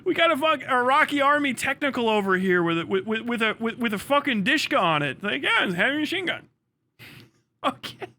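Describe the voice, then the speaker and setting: Animated, bright-voiced sweary man livestreamer. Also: very high-pitched, fairly quick. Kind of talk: casual ranting livestream commentary.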